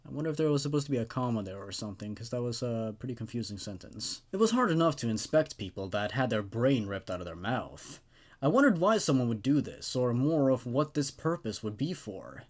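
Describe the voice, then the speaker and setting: A man's voice: mid-range voice, big pitch swings, a little fast. A man hosting horror story readings, moving between narration and informal, casual commentary. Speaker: host narrating horror stories.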